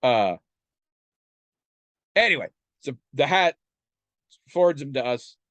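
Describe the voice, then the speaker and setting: Stuffed up guy in his 40s rambling and joking on a podcast, mid pitch, dead dry sound. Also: sing-song, slow pace, a bit bright, no echo, lo-fi sound. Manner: rambling, self-deprecating, stammering restarts. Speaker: podcast co-host. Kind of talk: casual chat.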